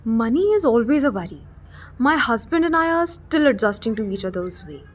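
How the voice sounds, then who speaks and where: Actress as newly married office worker, high pitch, raspy, worried, radio drama. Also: female voice, lively intonation, fairly quick. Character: newly married working woman, worried about money. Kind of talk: amateur radio drama acting.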